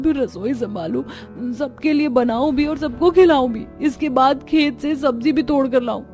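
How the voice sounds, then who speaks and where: A woman's voice: high-pitched, dark tone, natural voice. A woman voicing a young, put-upon cartoon daughter-in-law with a plaintive, grumbling manner.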